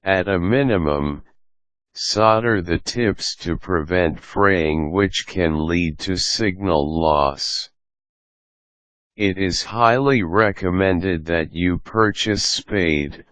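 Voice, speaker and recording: A man reading a scripted instructional guide as a tutorial narrator, in a measured, step-by-step manner. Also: low voice, fairly bright tone, no echo.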